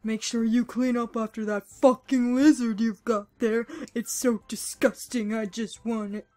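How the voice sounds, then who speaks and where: A woman's voice: fairly high pitch, little pitch movement, measured pace, warm tone, soft background music. A young woman amateur voice actor delivering bossy, scolding lines. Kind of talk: acted scolding lines in outtakes.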